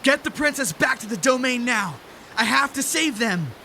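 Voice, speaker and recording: A man's voice: very high-pitched, animated, moderate pace, vocal fry, sharp tone, slight background noise, exaggerated voice. A man playing a young heroic knight, urgent and commanding, in a cinematic audio drama.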